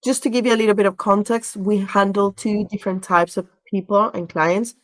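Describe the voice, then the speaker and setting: A woman's voice: medium pitch, fairly even intonation, fairly quick. Woman in conversational interview. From Venezuela. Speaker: podcast guest, relocation director. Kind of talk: conversational interview answers, storytelling and explaining.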